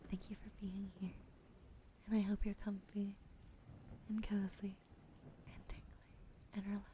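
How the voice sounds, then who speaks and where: A woman's voice: medium pitch, flat delivery, a little slow, warm tone, a little hiss or hum. A young woman streamer with a wheezy throat, whispering in ASMR style, thanking viewers and swearing casually.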